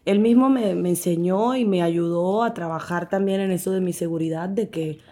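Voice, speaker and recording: A young adult woman from the Dominican Republic with a Dominican Spanish accent, guest in a casual interview, animated and quick to laugh as she acts out the voices in her stories. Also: on the low side.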